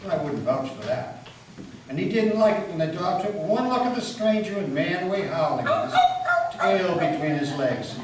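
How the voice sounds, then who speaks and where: A man's voice: high-pitched, wildly swooping pitch, moderate pace, noisy background. A man giving a dramatic storytelling reading of a folk tale in a folksy, emphatic manner. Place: meeting house, live reading. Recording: echoey hall from far off, music behind. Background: guitar music.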